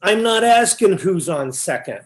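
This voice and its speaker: A performer acts out a confused, increasingly exasperated questioner in a stage comedy double act. The voice sits at a middle pitch and pushes insistently through each question. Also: very animated, a little slow. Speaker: amateur readers theater performer. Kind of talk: staged comic dialogue reading.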